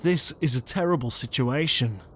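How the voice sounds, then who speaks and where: Exasperated, anxious young male ghost acted by a man, mid-pitched, dry sarcasm, roleplay comedy over faint ambience. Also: normal speed, a bit bright, a little hiss or hum, lightly acted. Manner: sarcastic, flustered, easily annoyed. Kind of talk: fan audio roleplay comedy acting.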